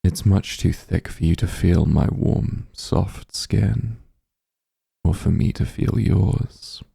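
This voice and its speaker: Guy doing a demon lord asmr voice, super low and crackly, slow soft teasing, possessive but doting, close dry sound. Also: no room sound, heavily acted. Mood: soothing, tender. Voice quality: very deep, gravelly, breathy. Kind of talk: intimate ASMR roleplay acting.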